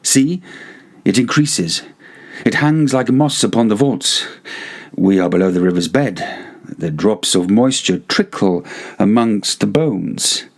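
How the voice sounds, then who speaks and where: A man's voice: fairly low pitch, animated, slow, heavily acted. A man voicing a sly, vengeful nobleman in a gothic audiobook, coaxing with false concern. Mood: feigned concern. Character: sly, falsely solicitous vengeful nobleman luring his victim. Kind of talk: gothic audiobook character acting.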